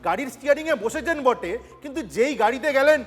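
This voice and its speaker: A male political debater talks sarcastically. His voice sits extremely high and carries a long hall reverb. Music plays underneath. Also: animated, quick pace. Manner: sarcastic, mocking. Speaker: political panel guest.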